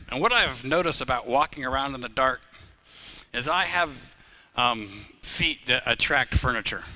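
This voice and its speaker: A middle-aged pastor who grew up in Uganda preaches a live sermon in a very bright, forward voice. His pitch sits mid-range, and he talks conversationally, telling homely stories with easy humor. He swells louder and higher on key phrases. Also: a little hiss or hum, rough recording.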